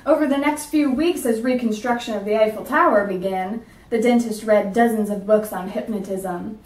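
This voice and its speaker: A woman's voice: a little high, moderate pace, darkish tone. Woman teacher reading a storybook aloud. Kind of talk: reading a picture book aloud. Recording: roomy sound from a distance.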